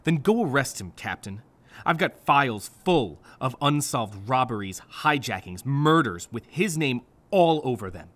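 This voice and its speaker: An actor playing a cynical, driven district attorney in a noir radio drama, sardonic and impatient. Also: male voice, mid-range voice, sing-song, a little slow, fairly bright tone. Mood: frustrated.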